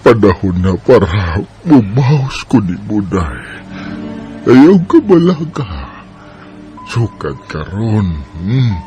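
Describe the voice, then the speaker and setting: A man acting an elderly, devoted, grieving husband in a Bisaya radio drama, with a Filipino Bisaya accent and a halting, sighing delivery. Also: lowish voice, very animated, dark tone, soft background music. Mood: tender.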